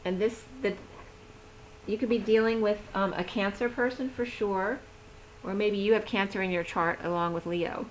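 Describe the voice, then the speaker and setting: A woman giving a rambling, chatty tarot reading, talking with a voice that is going hoarse. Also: mid-range voice, fairly even intonation, moderate pace, a little hiss or hum, poor audio. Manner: chatty, rambling, laughs easily. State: losing her voice. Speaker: tarot reader. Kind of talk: casual tarot reading to camera.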